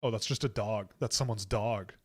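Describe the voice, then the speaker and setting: A young man hosting a livestream, rambling excitably with frequent restarts. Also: lowish voice, moderate pace, slightly rough. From Canada. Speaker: livestream host. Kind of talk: casual livestream chat.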